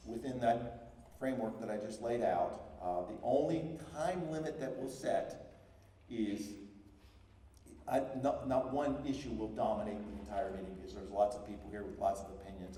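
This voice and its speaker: A man with a low, mellow voice addresses a town meeting. He speaks plainly and sets firm ground rules. The sound is caught from far off in a big echoey hall. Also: moderate pace, a little hiss or hum. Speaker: school superintendent. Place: large echoey public hall.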